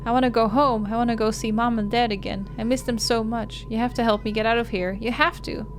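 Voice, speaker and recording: A woman's voice: fairly high pitch, steady tone, a little fast, a little hiss or hum, voice pushed up, natural voice. A woman voicing a young lost little sister from a visual novel, earnest, pleading and insistent. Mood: tearful pleading. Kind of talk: visual novel character read aloud.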